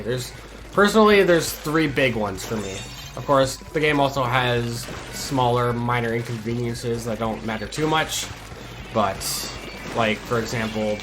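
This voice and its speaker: A man hosting a gaming channel, chatting casually over gameplay while stuffy from a cold, laid-back and hesitant with lots of ums and restarts. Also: medium pitch.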